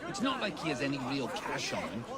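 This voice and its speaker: Scoffing Victorian gang lackey for a game: casual, very lively pitch. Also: male voice, fairly quick, over a noisy crowd. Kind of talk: video game cutscene acting. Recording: loud crowded street.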